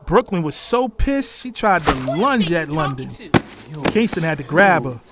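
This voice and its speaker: A man with a high-pitched voice narrates a scripted drama recap with attitude. The recording has clear background noise and clicks. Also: sing-song, measured pace.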